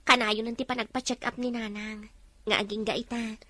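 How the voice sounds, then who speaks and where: A woman's voice: a little high, little pitch movement, moderate pace, bright, forceful tone. An actress playing an earnest young mother worried about her family.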